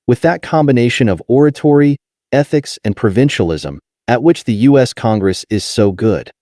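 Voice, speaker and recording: A man narrating a nonfiction audiobook in a measured, clearly articulated reading. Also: fairly low pitch, mellow, dry recording, studio quality.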